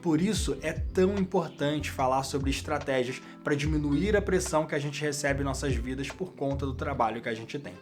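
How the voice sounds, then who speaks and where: A man's voice: on the high side, normal speed, few pauses, faint music behind. A man with a Brazilian Portuguese accent, hosting a career channel and explaining to camera, speaking straight to the viewer. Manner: direct, addresses the viewer as "you".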